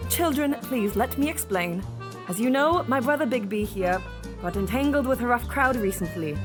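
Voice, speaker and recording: A woman's voice: on the high side, animated, normal speed, close to an everyday voice. A woman playing a firm, proper Victorian lady in an audio drama, speaking formally and articulately. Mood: exasperated, firm. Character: firm, proper protective Victorian woman, exasperated older sister. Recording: music under the voice.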